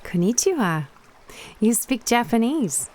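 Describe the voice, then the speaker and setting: A woman's voice: fairly high pitch, big pitch swings, unhurried, darkish tone, slight background noise. A woman playing a confident, teasing young Japanese woman diver in a radio play. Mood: friendly, curious.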